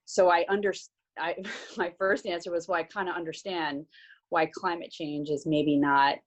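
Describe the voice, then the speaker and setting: A woman's voice: fairly low pitch, fairly even intonation, normal speed. A woman on a video call recounting a workshop conversation, earnest and hesitant with many restarts. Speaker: workshop participant. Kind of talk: casual recap on a video call.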